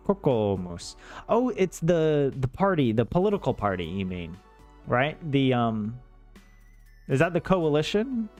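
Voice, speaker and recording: A man from the American South talks off the cuff to his stream with lively, swooping intonation. His pitch sits in the middle of the male range, and he rambles with dry self-deprecating jokes. Music plays softly behind him. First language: English. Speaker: streamer learning Finnish. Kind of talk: casual livestream chat.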